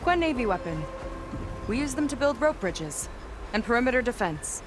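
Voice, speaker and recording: A woman playing an eager, forthright young seafaring scout in a video game, animated and candid. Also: on the high side, normal speed, noisy background. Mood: sober, informative. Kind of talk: video game cinematic acting. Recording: loud music behind the dialogue.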